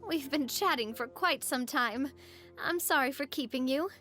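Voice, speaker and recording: A woman voicing a polite, gentle young lady in a video game, considerate and playfully curious. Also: high-pitched, moderate pace, soft background music. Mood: apologetic, polite.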